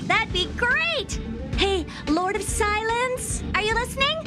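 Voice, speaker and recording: Brash girl genius, video game, very high voice, animated intonation, haughty, blunt, breezy. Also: measured pace, over loud music. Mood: eager, bold. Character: brash, self-assured young female genius scientist.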